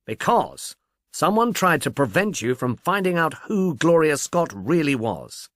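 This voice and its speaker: A man's voice: fairly high pitch, big pitch swings, unhurried, fairly bright tone, no room sound, pitched above the performer's own voice. An impatient, clever amateur detective , acted out by a male reader lectures emphatically in an audiobook mystery. The voice swoops up and down with great animation, recorded very dry. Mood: emphatic.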